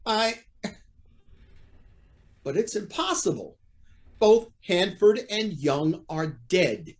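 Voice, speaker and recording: A man's voice: high-pitched, big pitch swings, takes it slowly, heavily acted. A man playing a proud, indignant judge who feels cornered, in an old-time radio drama with a formal, blustering manner. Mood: defiant.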